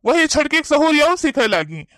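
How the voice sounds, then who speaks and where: A man's voice: extremely high pitch, sing-song, normal speed, pushed and bright, no echo, studio quality, heavily acted. A man playing a bossy, impatient village husband in a cartoon comedy, nagging with an Awadhi accent. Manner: nagging, commanding.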